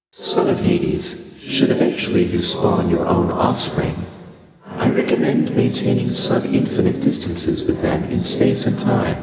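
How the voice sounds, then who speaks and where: An actor playing an ancient, detached god of the void in a video game, speaking formally in measured, cryptic pronouncements through an otherworldly reverberant effect. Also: male voice, low-pitched. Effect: heavy echoing, reverberant otherworldly processing. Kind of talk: video game character voice acting. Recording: cavernous echo and reverb, music behind. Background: music.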